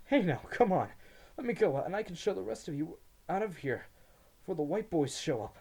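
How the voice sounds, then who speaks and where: A man's voice: sits high, expressive, fairly quick, creaky, mellow, husky, pitched above the performer's own voice, exaggerated voice. A man voicing a desperate captive man who pleads and whines for his life in a dramatic story reading. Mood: anxious coaxing. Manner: pleading, whining at the end.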